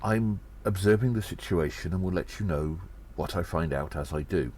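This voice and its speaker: A man playing a self-important, cheeky gnome undercover agent, delivering a deliberate and theatrical audio drama monologue. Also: low voice, darkish tone. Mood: reading gravely.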